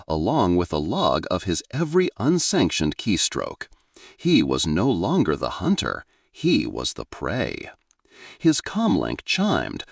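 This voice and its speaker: Male narrator for sci-fi audiobook: low, gravelly, measured and dramatic. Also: dry recording, clean studio sound.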